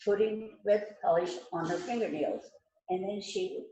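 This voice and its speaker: A woman's voice: mid-range voice, unhurried, dark tone, lightly acted. A woman reading the part of a polite, methodical police detective in an amateur mystery play rehearsal. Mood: methodical, questioning. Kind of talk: amateur readers theater rehearsal, script reading. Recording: echoey room, distant mic.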